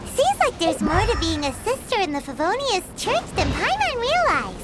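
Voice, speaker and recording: A tiny childlike pixie for a video game. The voice is extremely high and squeaky, swooping animatedly as she chatters and bristles. Loud music runs underneath. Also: sing-song, normal speed. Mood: curious, concerned. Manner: squeaky, third-person childish talk. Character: tiny childlike female pixie companion, chatty, easily indignant. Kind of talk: cartoonish game voice acting.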